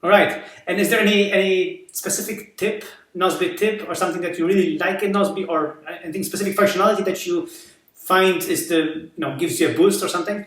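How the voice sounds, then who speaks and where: A man hosting an interview who asks halting questions full of fillers and restarts. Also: high voice, a bit bright, far from the mic. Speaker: interviewer, show host. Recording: echoey room, off-mic.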